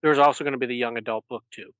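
A man's voice: medium pitch, steady tone, racing, a bit bright, no room sound. A man co-hosting a podcast in a casual fan discussion, recorded in dry, dull, lo-fi sound. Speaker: podcast co-host.

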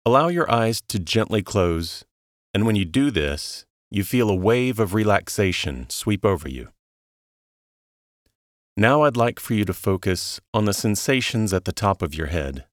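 A man narrating a guided relaxation in a calm, soothing, measured way, leaving long pauses between instructions. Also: lowish voice, no echo, clean studio sound.

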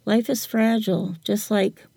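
An older woman talking reflectively as an interview guest, with halting, hesitant phrasing. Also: medium pitch, fairly even intonation, vocal fry, mellow, dry recording. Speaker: podcast guest, writer.

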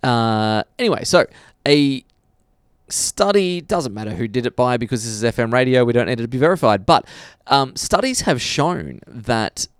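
Brief: male podcast co-host, animated storyteller, dry studio sound. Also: medium pitch, normal speed, smooth, dry recording. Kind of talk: casual podcast chat.

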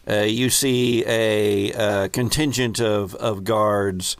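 A man's voice: lowish voice, fairly even intonation, takes it slowly, clean tone, no echo. A man acting as game master, casually narrating a tabletop game and bantering with players. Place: remote online call.

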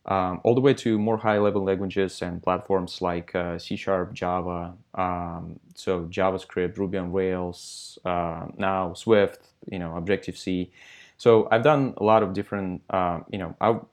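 A man's voice: sits low, fairly even intonation. A man, answering interview questions with many fillers. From Russia. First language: Russian. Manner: halting, lots of fillers. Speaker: guest, software company founder.

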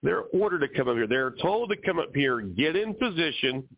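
Man, radio host, emphatic and ranting, lively swooping pitch, narrow phone-like sound. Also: fairly quick. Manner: emphatic, ranting, addresses listeners directly. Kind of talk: opinionated call-in radio talk.

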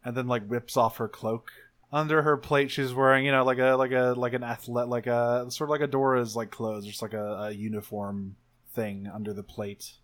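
A man plays a tabletop role-playing game, narrating his character's actions in a casual, improvised way. His pitch sits in the middle of the male range. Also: little pitch movement, moderate pace, a bit bright. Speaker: tabletop role-play player.

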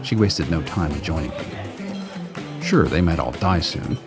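A man with a low, deep voice narrates a scripted audio drama. Music plays underneath his storytelling. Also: expressive, unhurried. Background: music bed.

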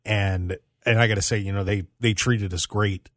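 Low-voiced man hosting casual sports talk radio. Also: fairly even intonation, moderate pace, dry recording, studio quality. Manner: conversational, plugging show to listeners. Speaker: radio show host.

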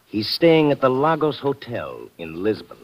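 An actor playing a rugged, blunt man posing as a gangster, with a slow tough-guy drawl in an old radio drama. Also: medium pitch, huge pitch swings, dull audio. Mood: tough, insistent. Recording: vintage radio drama recording.

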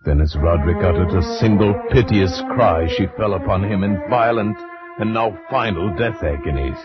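A man's voice: deep voice, moderate pace, natural voice. Man acting as horrified gothic storyteller, muffled old radio. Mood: grave, dramatic. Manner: grave, ornate, theatrical delivery. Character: horrified male narrator, friend of a doomed gentleman. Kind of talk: 1940s American radio horror acting, dramatic narration. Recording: muffled vintage 1940s radio recording, orchestral music. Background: loud dramatic music.

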